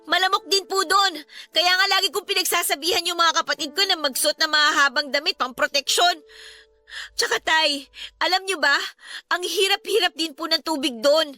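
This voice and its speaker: A woman's voice: very high-pitched, moderate pace, sharp tone, no echo. A woman playing a distressed, pleading teenage daughter from a poor family in a Tagalog radio drama. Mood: frustrated, weary. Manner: pleading, questioning, respectful to her father.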